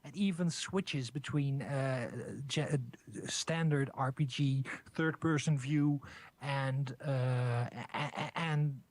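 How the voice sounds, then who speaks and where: Halting Dutch-accented man on a podcast. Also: medium pitch, steady tone. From Netherlands. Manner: halting, many restarts and fillers, playful. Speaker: podcast co-host. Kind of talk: casual gaming news chat.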